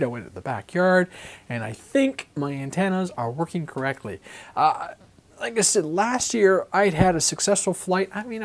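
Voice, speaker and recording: Rambling man getting over a cold. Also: medium pitch. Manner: rambling, lots of fillers and self-corrections. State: recovering from a cold, voice croaky at times. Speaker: RC hobby vlogger. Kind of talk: casual weekly update vlog.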